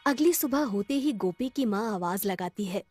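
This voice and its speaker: A woman's voice: medium pitch, lively intonation, moderate pace, darkish tone, husky, soft background music. A woman narrating a scripted children's story.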